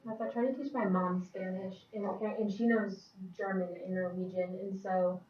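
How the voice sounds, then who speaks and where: A woman's voice: medium pitch, fairly even intonation, moderate pace, a little mellow, a little hiss or hum. A young woman, a university student, explaining things at length in a casual classroom group discussion and trailing off as she goes. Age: young adult. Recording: echoey room from a distant, muffled mic.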